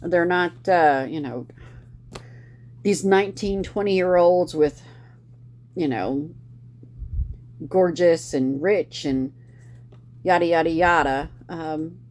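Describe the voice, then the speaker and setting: Low-voiced woman chatting about a book. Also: slow, long pauses, darkish tone. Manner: rambling, many fillers and restarts. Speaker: book club host. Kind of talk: casual book review chat.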